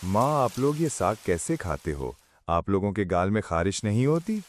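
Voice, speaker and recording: Comic cartoon husband: hapless young villager, swooping intonation, faint rain and hiss. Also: male voice, lowish voice, big pitch swings, normal speed. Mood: puzzled. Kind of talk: comic cartoon story dialogue.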